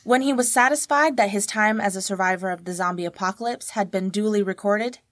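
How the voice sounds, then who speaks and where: Lady reading a story, really dry studio sound, normal mid pitch. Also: brisk, a bit bright, dry recording. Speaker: guest narrator. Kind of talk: first-person scripted story narration.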